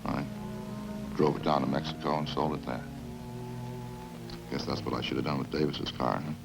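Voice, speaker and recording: Casting: cold-blooded confessed killer, bottom-of-the-range gravelly voice, casual and matter-of-fact, vintage radio drama. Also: male voice, rumbling low. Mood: casual, remorseless. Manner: flat, casual, unhurried confessing. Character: matter-of-fact, remorseless male drifter confessing to killings. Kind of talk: old-time radio crime drama acting. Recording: old radio recording with music behind.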